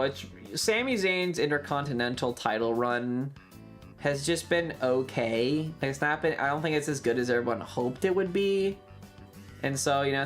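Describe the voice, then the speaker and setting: Casual, emphatic livestreamer with music behind. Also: mid-range voice. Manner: swearing, emphatic, animated ranting. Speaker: livestream host. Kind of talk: casual rambling livestream chat.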